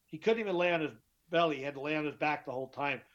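A middle-aged man with a deeper voice, telling his story as an interview guest, emphatic and often repeating himself. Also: rapid-fire, a bit bright. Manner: emphatic, repeats and restarts words. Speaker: guest, author. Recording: dull lo-fi sound.

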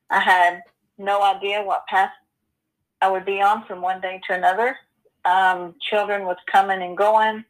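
A woman in her forties telling her personal story as an interview guest, earnest and halting, with many ums and you-knows. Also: medium pitch, rough recording. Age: 40s.

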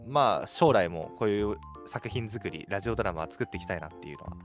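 A man's voice: fairly low pitch, expressive, fast, faint music behind. A man in his early twenties chatting casually and animatedly as co-host of a radio show. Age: early 20s. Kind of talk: casual chat.

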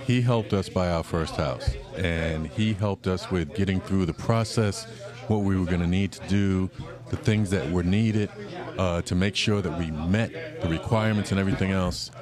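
A very deep-voiced man hosts a chat at a crowded event, with people talking all around him. He speaks with enthusiasm and stumbles over repeated words as he begins his thoughts. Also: moderate pace. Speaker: co-host. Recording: crowded room chatter.

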